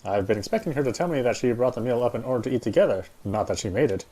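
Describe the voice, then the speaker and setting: A man's voice: mid-range voice, animated, very fast, mellow, poor audio. A man reading first-person visual novel narration aloud.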